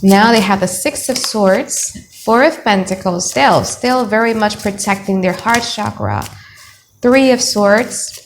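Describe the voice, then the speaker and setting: A woman's voice: mid-range voice, unhurried, a little hiss or hum. A young woman from Indonesia with an Indonesian accent, giving a blunt, chatty tarot reading to camera from home. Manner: chatty, blunt, thinks aloud while laying out cards. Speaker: tarot reader. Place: home room, children heard nearby.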